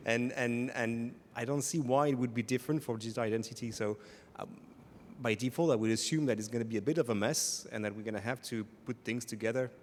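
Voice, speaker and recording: A man's voice: medium pitch, steady tone, fairly quick, a little mellow, slight background noise. Frank, chuckling male panelist. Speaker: conference panelist. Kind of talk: candid panel discussion. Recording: reverberant conference hall.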